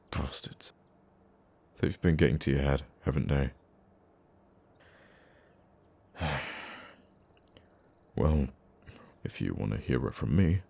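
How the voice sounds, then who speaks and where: A man playing a gruffly caring, teasing supervillain who comforts a nemesis in an intimate ASMR roleplay, with a deep, gravelly voice. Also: full character voice. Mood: indignant, reassuring. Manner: soft-hearted, teasing, halting when flustered.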